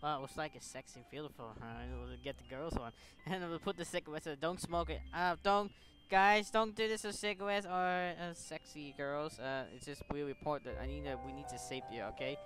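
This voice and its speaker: A man giving casual let's-play commentary and reading game text in halting, broken phrases. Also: fairly high pitch, fairly even intonation. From Philippines. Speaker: gaming video host.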